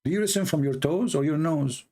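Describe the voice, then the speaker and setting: Blunt Arabic-accented man, gravelly. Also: fairly high pitch, fairly quick, a little mellow. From Middle East. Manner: blunt, mocking, emphatic repetition, broken grammar. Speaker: debate show host. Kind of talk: casual livestream commentary.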